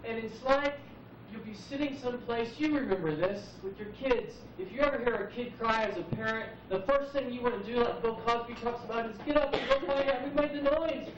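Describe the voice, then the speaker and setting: A man in his forties speaking as a poet at an open mic, musing reflectively and unhurriedly with dramatic pauses. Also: very high voice. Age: 40s. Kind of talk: live spoken-word musing. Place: live open mic venue, roomy. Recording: muffled noisy VHS recording, echoey, distant.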